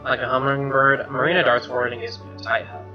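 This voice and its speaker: A man doing an expressive read-aloud of a game's narration, with casual asides. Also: medium pitch, fast. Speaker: gamer reading a visual novel aloud. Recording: roomy, dull lo-fi mic. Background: game music.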